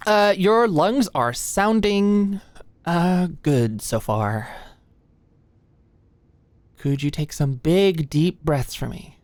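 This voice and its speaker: A woman's voice: lowish voice, animated, drawn-out words, long pauses, dry recording. A young woman playing an anxious, awkward female medical student in an intimate ASMR roleplay, speaking hesitantly and attentively. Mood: flustered, embarrassed. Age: young adult. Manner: nervous, hesitant, stammering, softly attentive. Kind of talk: ASMR roleplay, intimate scripted one-sided dialogue. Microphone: close studio-style mic, dry.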